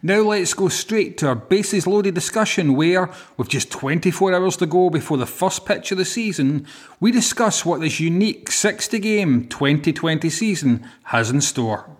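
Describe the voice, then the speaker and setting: A man's voice: sits high, lively intonation, moderate pace. A man with a Glaswegian Scottish accent, hosting a podcast with scripted introductions. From Glasgow, Scotland. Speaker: podcast host.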